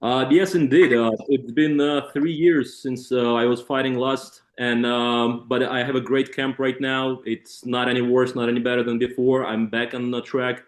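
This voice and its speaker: Male interpreter, hesitant phrasing, middle pitch, clearly echoey room sound. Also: flat delivery, moderate pace, hardly any pauses. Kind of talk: consecutive interpreting on a press call. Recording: echoey room on conference call.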